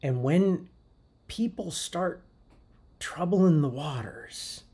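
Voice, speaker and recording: A man preaching a sermon from the pulpit of a church. His voice is mellow and slightly husky, and he speaks in a thoughtful, unhurried way. He often restarts his phrases as he works his thoughts out aloud. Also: on the high side, lively intonation. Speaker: pastor. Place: church sanctuary.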